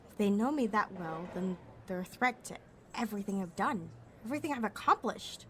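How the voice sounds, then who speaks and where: A woman's voice: mid-range voice, lively intonation, a little fast, roomy sound, big-room sound, slight background noise, lightly acted. A woman playing a cocky, sarcastic young pickpocket outlaw in a sci-fi audio roleplay, muttering wryly to herself. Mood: wary, resolute. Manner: wry, sardonic, slangy, talking to herself.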